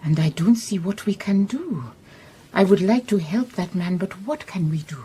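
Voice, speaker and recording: An actress playing a calm, shrewd, kindly woman detective in a radio drama, measured and politely firm, with a Southern African accent. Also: medium pitch, a little hiss or hum, lightly acted. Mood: calm, businesslike. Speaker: lead actor in a radio drama. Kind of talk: radio drama acting with reflective first-person narration.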